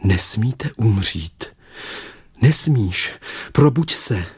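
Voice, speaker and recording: Muž předvádí oddanou, něžnou a úzkostnou mladou ženu z pohádky, mluví citově a naléhavě. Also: male voice, on the low side, expressive, darkish tone, slightly rough, no room sound. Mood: desperate, pleading. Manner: emotional, pleading. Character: devoted young woman, tender, anxious, pleading fairy-tale heroine.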